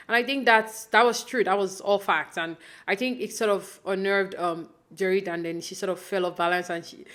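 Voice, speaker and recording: A woman's voice: medium pitch, a bit bright. A young adult woman from Nigeria giving a casual, chatty recap of a reality show, full of fillers and restarts and acting out quotes.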